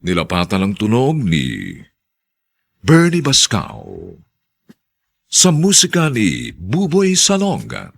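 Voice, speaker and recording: Deep, gravelly, theatrical male announcer. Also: wildly swooping pitch, slow pace, long pauses. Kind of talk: dramatic radio drama narration and credits.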